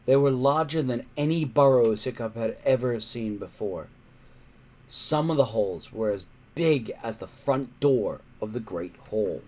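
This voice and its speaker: A male storyteller reads a children's adventure with a very lively, swooping delivery. He takes his time, pausing and stressing words to build suspense. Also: mid-range voice. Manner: suspenseful, emphatic, with pauses. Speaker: storybook reader. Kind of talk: dramatic read-aloud narration of a children's adventure.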